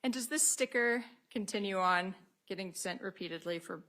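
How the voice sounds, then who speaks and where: A woman's voice: medium pitch, normal speed, fairly bright tone. Woman attorney questioning a witness, methodical. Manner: methodical, procedural. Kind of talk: courtroom questioning. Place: courtroom.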